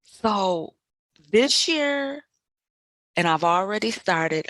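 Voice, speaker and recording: A woman in her fifties, a podcast host, reflecting in a measured, deliberate way and repeating phrases for emphasis. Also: lowish voice, fairly bright tone, no echo. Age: 50s. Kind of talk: reflective personal monologue.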